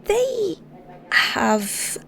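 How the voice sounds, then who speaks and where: A woman's voice: very high-pitched, sing-song, extremely slow, creaky, bright, forceful tone, full character voice. A woman with a Scottish accent playing a shy, sweet young shepherdess in an audio roleplay, stammering and rambling with nervous giggles. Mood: eager, nervous. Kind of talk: audio roleplay acting, intimate.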